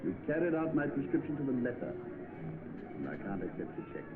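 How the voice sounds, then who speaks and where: Dignified old-film gentleman doctor, male actor, tinny. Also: mid-range voice, very animated, brisk, music in the background, natural voice. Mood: dignified, conceding.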